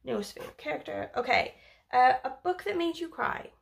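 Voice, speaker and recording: A woman's voice: sits high, expressive, brisk, vocal fry. A young woman from Wales chatting casually about books, rambling with lots of fillers and the odd swear word. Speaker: book vlogger. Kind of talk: casual book review chat.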